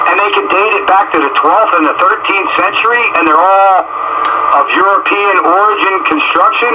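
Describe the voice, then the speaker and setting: A man in a casual radio chat, rambling and repeating words as he builds his point. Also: a little high. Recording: dull lo-fi radio audio, loud noise.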